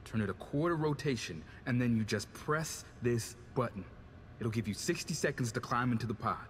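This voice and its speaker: An actor playing a plain-spoken, resigned but determined American technician ally in a video game, casual and matter-of-fact. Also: male voice, mid-range voice, expressive, normal speed, a little hiss or hum, natural voice. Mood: resolved. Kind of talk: video game cutscene acting.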